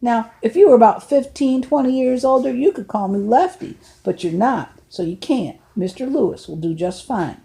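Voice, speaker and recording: A woman's voice: a little high, normal speed, creaky, hardly any pauses, mellow, voice pushed up. Woman putting on older man, grandfather, folksy, teasing, joking, raised voice, audiobook. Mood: jovial.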